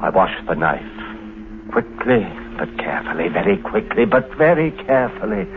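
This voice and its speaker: An actor playing a nervous, guilt-ridden husband in a 1950s radio mystery, speaking haltingly with dramatic pauses and repeated phrases. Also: male voice, on the low side, sing-song. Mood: haunted, brooding. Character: nervous, guilt-ridden husband hiding a murder, narrating his story. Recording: scratchy, tinny 1950s radio recording.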